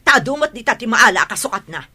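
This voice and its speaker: Snooty filipino madam in a radio drama, scornful and quick to anger, swings up and down a lot. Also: female voice, on the high side, sing-song, bright, forceful tone, slightly rough, faint music behind, full character voice. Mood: indignant, scornful. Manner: indignant, scornful. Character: haughty, hot-tempered madam and mother.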